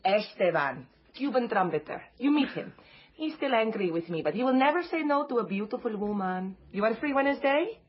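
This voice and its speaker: A woman's voice: a little high, animated, brisk, natural voice. A woman playing a bossy, flirtatious waitress in a radio drama, with a Latin American Spanish accent and brash, coaxing delivery sprinkled with Spanish. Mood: persuasive, brisk. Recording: slightly dull, lo-fi sound.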